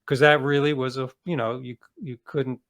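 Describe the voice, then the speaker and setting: A man's voice: mid-range voice, flat delivery, moderate pace, fairly bright tone. A man speaking as an interviewed author, explaining ideas in a reflective, conversational way with small qualifying asides.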